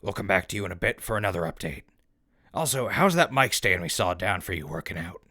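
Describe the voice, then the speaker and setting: A man playing an easygoing ghoul who hosts a wasteland radio show, talking in calm, affable patter with a gravelly voice. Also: sits low, brisk, no room sound, studio quality, exaggerated voice. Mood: upbeat, interested. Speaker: radio host. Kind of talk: radio-host patter in scripted audio drama. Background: music.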